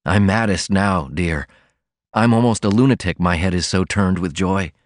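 Man as a lovestruck young man, fairly low pitch, earnest, fervent, audiobook romance dialogue. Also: moderate pace, no echo, clean studio sound, lightly acted. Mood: ardent. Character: ardent young working man madly in love.

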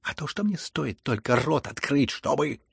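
A man's voice: mid-range voice, sing-song, a little fast, creaky, breathy edge, no room sound, studio quality, heavily acted. A man voicing a spiteful, exasperated grown son who angrily scolds his father in an audiobook, with biting delivery. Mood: angry, spiteful. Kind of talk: dramatized audiobook reading.

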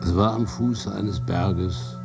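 An actor playing a battle-worn, one-handed soldier, halting and confiding, in a radio drama. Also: male voice, deep voice, fairly even intonation, creaky, full character voice. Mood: eager, confiding. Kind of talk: radio drama dialogue and monologue. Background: music.

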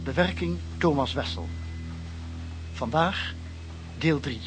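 A man's voice: fairly high pitch, slow. A man announcing the title and credits of a radio drama serial. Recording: radio serial intro over music. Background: clear music.

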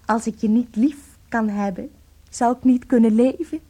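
A woman playing a devoted wife in a radio play, dramatic and intimate, swinging between tenderness and cold bitterness in a husky voice. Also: on the high side, moderate pace, mellow. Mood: adoring.

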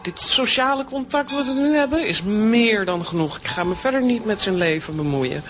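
A man's voice: high-pitched, expressive, normal speed, a bit bright, slight background noise. A man acting in a radio play as an easygoing, chatty, indulgent character. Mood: matter-of-fact.

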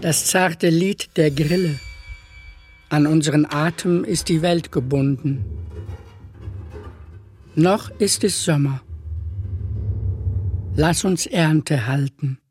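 A man giving a lyrical poetry recitation in a measured, solemn manner. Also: fairly high pitch, a little mellow. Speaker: poetry reader. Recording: music clearly behind the voice. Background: music.

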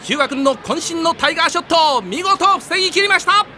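High-voiced, excitable soccer match announcer. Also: male voice, fast, slight background noise, heavily acted. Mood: thrilled, shouting. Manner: dramatic, breathless build-up of each play. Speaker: sports commentator. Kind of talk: anime sports commentary.

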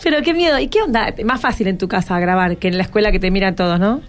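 A woman's voice: medium pitch, fast talker. A woman with an Argentine accent casually interviewing guests as a radio host. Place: radio studio.

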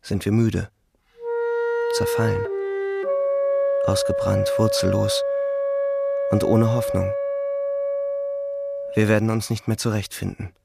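An actor playing a weary young German soldier who narrates in a grave, measured, inward way in a radio drama. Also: male voice, lowish voice, flat delivery, creaky, full character voice. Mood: weary, resigned. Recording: music behind the voice. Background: instrumental music.

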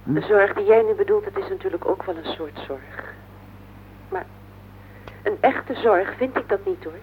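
A woman's voice: mid-range voice, lively intonation, moderate pace, narrow, tinny audio, lightly acted. An actress playing a worried, insistent wife in her forties in a radio play, speaking earnestly and plaintively. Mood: reproachful. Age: 40s. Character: worried, insistent wife of forty-one, earnest and heavy-hearted. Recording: noisy, band-limited old radio play recording.

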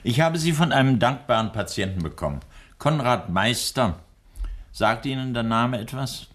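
An actor playing an older, courteous and worried doctor in a 1960s German radio drama, speaking in a measured, hesitant way. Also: male voice, medium pitch, lively intonation, close to an everyday voice. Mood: storytelling, courteous.

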